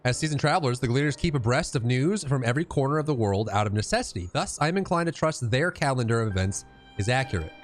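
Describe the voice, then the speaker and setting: A man's voice: mid-range voice, animated, fast talker, soft background music, lightly acted. A man voicing an earnest, polite young woman scholar from a fantasy game, formal and bookish. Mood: thoughtful.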